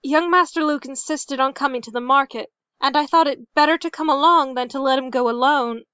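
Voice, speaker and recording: Woman playing a meek, hushed servant woman. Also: high voice, fairly quick, dry recording, highs cut off. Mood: nervous. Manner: mumbling, hushed.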